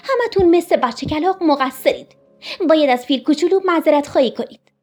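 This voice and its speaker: Woman as a high-voiced, scolding lady deer. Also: a little mellow, soft background music, close to an everyday voice. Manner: firm, reproachful. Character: stern female deer teacher, scolding, fair-minded.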